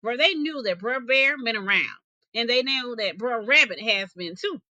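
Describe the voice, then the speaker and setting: Female teacher-storyteller, bright, slightly high voice, expressive folk-tale reading, dry sound. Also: normal speed, no room sound. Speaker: teacher reading stories aloud.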